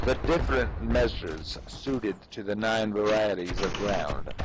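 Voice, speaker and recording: A man giving a solemn, deliberate dramatic reading of an old treatise in a declamatory manner. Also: on the low side, noisy background. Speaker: reader performing a dramatic reading.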